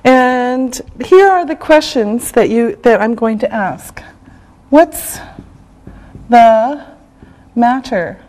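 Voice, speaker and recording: A woman teaching English, who says each phrase slowly and clearly, repeats it, and checks in with "okay?". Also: a little high, expressive, a little mellow. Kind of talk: slow, deliberate classroom teaching.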